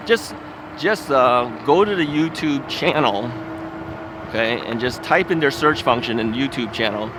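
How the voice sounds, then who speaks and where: A man hosting a casual vlog while out riding on a city street. He rambles in a folksy way, with lots of filler words and frequent chuckles. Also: mid-range voice. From United States. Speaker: ebike video host. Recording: outdoor street recording with traffic noise. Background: traffic and street noise.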